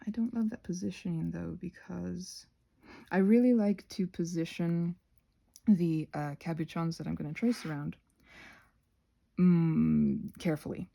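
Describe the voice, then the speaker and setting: A woman hosting a casual craft tutorial, thinking aloud in a scattered, self-interrupting way with playful, expressive exclamations. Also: fairly low pitch, measured pace, gravelly. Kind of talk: rambling casual craft narration.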